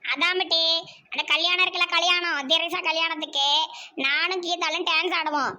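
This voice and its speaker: A woman voicing a bossy, sharp-tongued village mother in an amateur cartoon comedy, chattering mockingly with a southern Tamil Nadu accent. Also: extremely high pitch, steady tone, normal speed, heavily acted.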